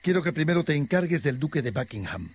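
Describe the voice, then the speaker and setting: An actor playing a powerful, calculating cardinal in a radio drama, composed and commanding. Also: male voice, on the high side, sing-song, fast, breathy edge, highs cut off, exaggerated voice. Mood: cool, scheming.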